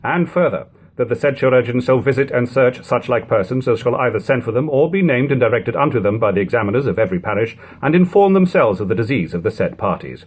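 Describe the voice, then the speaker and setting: A man narrates old-fashioned prose in a formal, measured way. His voice is husky and mid-pitched, and his intonation rises and falls widely through each long sentence. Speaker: narrator reading a book aloud. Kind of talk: audiobook-style reading of an archaic text.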